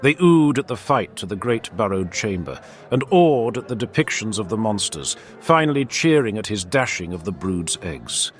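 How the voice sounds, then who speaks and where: Expressive man narrating a dramatic fantasy tale. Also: fairly low pitch, normal speed, few pauses. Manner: theatrical, formal storyteller.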